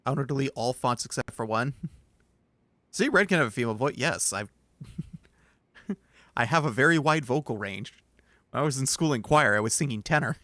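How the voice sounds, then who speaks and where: A man's voice: mid-range voice, expressive, a little fast, pauses often, pushed and bright, no room sound. A man streaming, chatting casually while he plays and reads the game's lines aloud, laughing and swearing now and then. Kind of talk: casual chat over a game.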